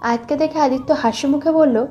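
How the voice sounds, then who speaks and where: A woman's voice: high voice, fairly quick, dark tone, lo-fi sound. A young woman reading scripted narration for a dramatized love story. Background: background music.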